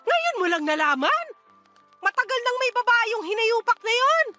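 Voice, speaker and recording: A woman's voice: very high voice, big pitch swings, moderate pace, music in the background. A woman playing a bitter, exhausted mother who scolds and nags sarcastically in a radio-style drama.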